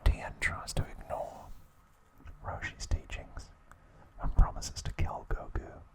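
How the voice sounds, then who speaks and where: Whispering man, slow ASMR narration. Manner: whispers slowly and softly in short, measured phrases. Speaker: ASMR channel host. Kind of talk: whispered ASMR plot recap.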